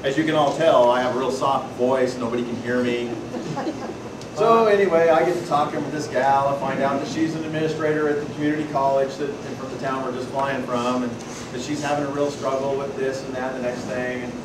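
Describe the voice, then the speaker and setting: A man with a Pacific Northwest accent giving a folksy, lively workshop talk to an audience, telling stories and asking the room questions. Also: mid-range voice, a little fast. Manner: loud folksy storyteller, asks the room questions. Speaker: presenter at a membership workshop. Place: meeting hall with a live audience. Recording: distant, echoey room recording with loud noise.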